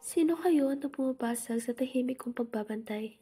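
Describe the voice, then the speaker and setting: A woman's voice: high-pitched, normal speed, loud music behind the voice, close to an everyday voice. A woman playing a solemn fairy who guards a lake in an amateur radio drama, speaking formally. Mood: stern, solemn.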